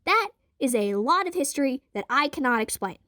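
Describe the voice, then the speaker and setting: A woman's voice: sits high, sing-song, brisk, pushed and bright, no room sound, full character voice. A woman playing a practical, dry-witted young woman in an audio drama. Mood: matter-of-fact.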